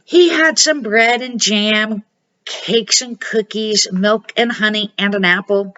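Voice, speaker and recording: A woman's voice: medium pitch, takes it slowly, sharp tone, highs cut off. An older woman reading a children's storybook aloud in a homely, amateur way. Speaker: storybook reader.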